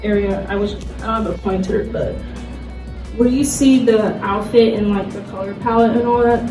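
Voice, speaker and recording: A young woman talking casually as a convention panelist, in a chatty, rambling way. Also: fairly high pitch. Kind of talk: casual informal panel talk. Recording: echoey room, distant mic, faint music.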